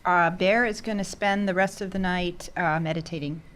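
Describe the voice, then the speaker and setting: A woman's voice: lowish voice, steady tone, moderate pace, fairly bright tone, slight background noise. A woman talking casually as a player in a tabletop roleplaying game, saying what her character wants and does.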